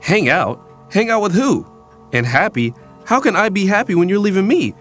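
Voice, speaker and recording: A man's voice: high voice, animated, moderate pace, a bit bright, soft background music. A young man playing a disgruntled son in an audio drama, narrating in the first person in a casual, slangy, conversational way. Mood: pleading. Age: young adult. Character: disgruntled young son from a troubled wealthy family. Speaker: actor and narrator.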